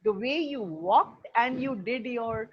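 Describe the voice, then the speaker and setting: A woman's voice: on the high side, lively intonation, slow. A woman with an Indian accent, speaking as a teacher in an encouraging, effusive way to her online class. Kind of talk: casual spoken feedback to students. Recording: muffled video-call audio with faint noise.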